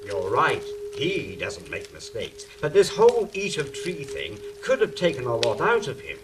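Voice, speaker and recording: Lively, wry angel, played by a man. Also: medium pitch, normal speed, music in the background. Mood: commanding. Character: wry, theatrical angel who takes charge. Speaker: stage actor. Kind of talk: theatrical stage drama acting. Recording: faintly noisy stage recording.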